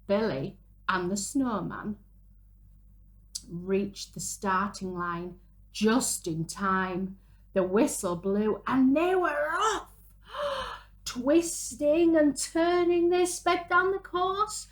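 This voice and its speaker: A woman, reading a picture book aloud to young children in an animated, dramatic way. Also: fairly high pitch. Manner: animated, dramatic gasps and drawn-out exclamations. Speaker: children's storyteller, early years presenter. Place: small room at home, indoors.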